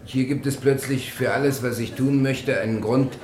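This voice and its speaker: An actor playing a naive, honest small-town millionaire in a German radio play, hesitant and earnest. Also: male voice, medium pitch, fairly even intonation, moderate pace, reverberant, a crowd in the background, natural voice. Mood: embarrassed, unsure.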